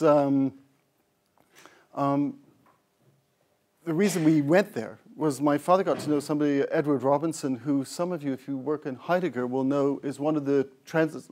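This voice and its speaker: A man's voice: fairly high pitch, a little mellow. A middle-aged man from Scotland giving an informal talk to a room of colleagues. He rambles in a friendly, self-deprecating way, with frequent ums and restarts, and he is recorded close on a lapel mic in a lecture room.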